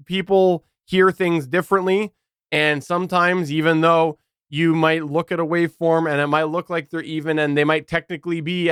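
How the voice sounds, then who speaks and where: Animated podcast host with a smooth voice. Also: male voice, a little high, no echo, clean studio sound. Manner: animated, uneven delivery with sudden loud bursts; loud voice. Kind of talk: casual podcast chat.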